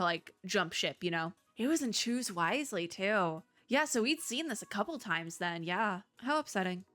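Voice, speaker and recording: A woman's voice: on the low side, a bit bright. A woman giving casual, chatty game commentary as a streamer and reviewer, with informal, playfully exasperated reactions.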